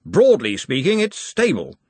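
Guy doing a loud stubborn old wizard boss, husky, swings his pitch all over, dry close mic. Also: wildly swooping pitch, unhurried, dry recording, full character voice. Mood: blustering. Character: bluff, bossy old male wizard chancellor.

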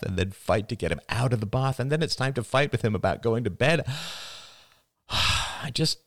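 A man's voice: mid-range voice, gravelly, dry recording, clean studio sound, voice pushed up. A man voicing an anxious, earnest young father who pleads with feeling in an audiobook reading. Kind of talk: audiobook dialogue.

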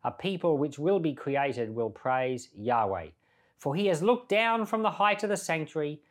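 A man's voice: on the high side, sing-song, normal speed. A man speaking as a pastor, reading and explaining scripture in an earnest, conversational, explanatory way. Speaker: pastor and Bible podcast host. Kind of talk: scripture reading and informal Bible commentary.

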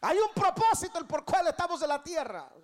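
A woman's voice: very high-pitched, sing-song, a little fast, a little mellow. A woman in her thirties with a Guatemalan Spanish accent preaches a sermon, emphatically repeating key phrases and putting questions to the congregation. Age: 30s. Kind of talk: church sermon.

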